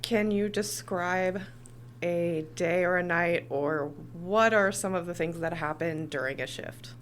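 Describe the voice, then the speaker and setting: A woman's voice: on the low side, measured pace, a little hiss or hum. A woman hosting a conversational podcast interview, asking questions as a communications director.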